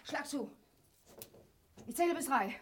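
An actress playing a scornful, defiant East German wife, mocking and theatrical in a grotesque radio play. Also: female voice, high-pitched, animated, a little slow, very noisy. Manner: mocking, theatrical, sometimes drawn out.

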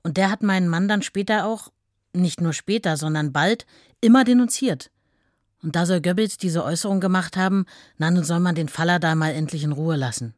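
Actress as a low-voiced, plainspoken, hurt wife. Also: female voice, no room sound, clean studio sound, natural voice. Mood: indignant. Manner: conversational, halting afterthoughts. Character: novelist's hurt wife looking back, plainspoken. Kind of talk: radio play, recollecting in plain speech.

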